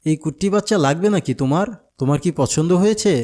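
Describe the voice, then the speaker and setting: A man's voice: on the high side, fast talker, a little mellow, close to an everyday voice. A man voicing a friendly, patient older companion to a child in a cartoon, with a Bangladeshi accent. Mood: coaxing. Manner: patient, coaxing, explanatory. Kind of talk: cartoon dialogue.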